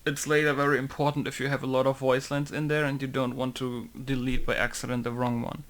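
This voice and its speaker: A man's voice: medium pitch, level tone, brisk, a bit bright. A man walking through a game modding tutorial, thinking aloud and rambling, often leaning on filler words like "basically" and "right?". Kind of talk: casual screen-recorded tutorial.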